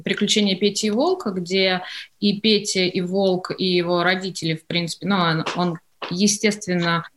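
A woman answering interview questions as an executive, explaining in a measured, businesslike way with hesitant pauses mid-phrase. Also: on the low side, level tone, a bit bright. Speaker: studio executive, interview guest.

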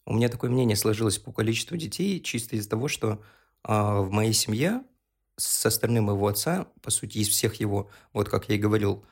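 A man's voice: fairly low pitch, fairly quick. A man in his early twenties chatting on a podcast, explaining his views earnestly and at length. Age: 20s. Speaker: podcast co-host. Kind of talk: casual podcast chat.

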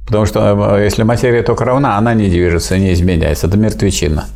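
An older man discussing philosophy in a relaxed academic conversation, teaching with questions and frequent fillers. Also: on the low side, quick pace, gravelly. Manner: didactic, questioning, with many fillers and restarts.

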